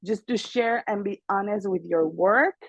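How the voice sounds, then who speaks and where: A woman from Mexico with a Mexican Spanish accent, speaking English as a second language, chatting in an interview in an animated, earnest way with frequent laughter. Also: medium pitch, a little slow, slightly rough, no echo, lo-fi sound. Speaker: guest singer and actress. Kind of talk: casual interview chat.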